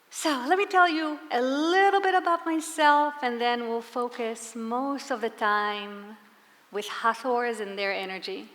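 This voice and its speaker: A woman from Israel gives a live presentation to an audience, speaking earnestly and asking them rhetorical questions. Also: on the high side. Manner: earnest, warm-spoken storyteller with rhetorical questions. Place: large hall before a live audience. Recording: long hall reverb.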